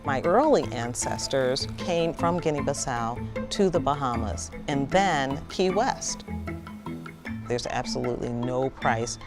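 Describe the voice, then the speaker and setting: A woman's voice: very deep voice, lively intonation, slow. A woman speaking articulately as an interviewee in a documentary. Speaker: stamp collector interviewee. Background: background music.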